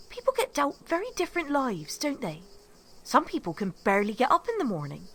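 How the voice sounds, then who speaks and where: A woman's voice: a little high, a bit bright. An actress playing an earnest, starstruck young woman in an audio drama, full of gushing, envious admiration. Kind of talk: naturalistic audio drama acting.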